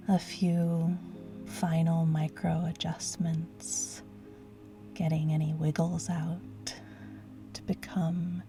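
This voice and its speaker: A woman leading a guided yoga nidra relaxation in a soothing, gentle, hushed and breathy voice. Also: on the low side. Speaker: guided meditation teacher.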